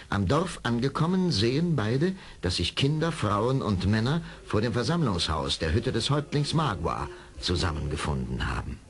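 Low-voiced male narrator with lively intonation. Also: breathy edge. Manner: measured storyteller phrasing. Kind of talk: scripted radio play narration.

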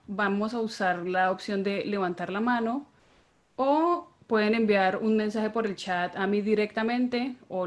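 Young Colombian woman hosting a video call. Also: medium pitch. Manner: friendly, with hesitant fillers. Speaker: host. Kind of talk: introducing a guest. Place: small indoor room.

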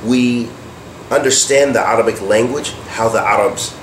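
A man giving a religious lecture, explaining terms deliberately and posing questions he then answers himself. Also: mid-range voice. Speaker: Islamic teacher. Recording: echoey room with air-conditioning hum.

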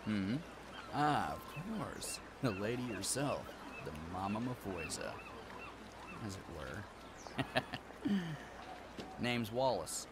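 A man's voice: lowish voice, big pitch swings, slow pace, pauses often, noisy background. A man playing a smug flirtatious bandit. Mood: flirty. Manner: smooth, flirtatious and teasing. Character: flirtatious roguish male bandit, smug and charming.

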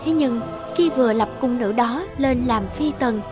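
A woman's voice: on the high side, measured pace, over music. A woman reading scripted fairy-tale narration as the storyteller.

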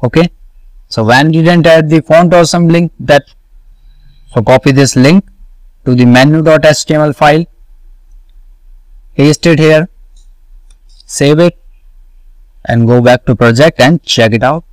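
Slow man explaining code. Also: on the high side, no room sound, low-quality recording. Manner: deliberate, repeats steps, asks and answers own questions. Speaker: web development tutor. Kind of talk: screen-recorded coding tutorial.

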